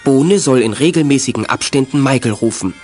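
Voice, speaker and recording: A man narrating a scripted children's audio drama in a measured, suspenseful storyteller's way. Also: mid-range voice, darkish tone. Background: music.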